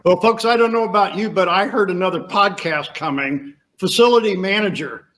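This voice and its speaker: A man's voice: sits high, a little fast, fairly bright tone, slight background noise. A man hosting a podcast over a video call, talking emphatically with rhetorical questions. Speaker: podcast host. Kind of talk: casual interview chat.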